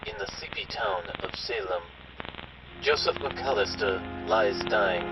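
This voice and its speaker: A man playing an ominous host of an old-time radio horror show, narrating in a theatrical, drawn-out way. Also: on the low side, lively intonation. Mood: grim storytelling. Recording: dull old-radio sound, loud music behind.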